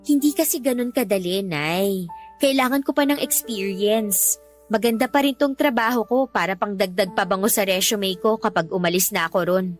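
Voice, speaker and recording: An actress playing a dutiful, weary young Filipino daughter who reasons respectfully but firmly in a radio drama. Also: female voice, medium pitch, moderate pace, natural voice. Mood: exasperated. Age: young adult. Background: background music.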